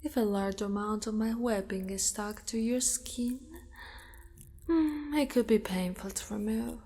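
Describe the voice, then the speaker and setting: A woman voicing a possessive, doting female spider monster in an ASMR roleplay, with formal wording and a hushed delivery that often slips into whispers. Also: a little high, unhurried. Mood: calm, matter-of-fact. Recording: long reverberant echo.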